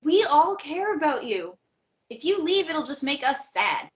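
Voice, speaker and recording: A woman's voice: sits high, big pitch swings, unhurried. A woman playing an exasperated but caring young friend, indignant and earnest, in a stage play table read. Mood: concerned, pleading. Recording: dull, muffled recording.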